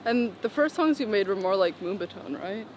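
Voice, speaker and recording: A woman's voice: mid-range voice, animated, fairly quick, darkish tone, rough recording. A young woman casually asking interview questions while walking outdoors along a sidewalk. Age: young adult. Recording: clear outdoor street and traffic noise.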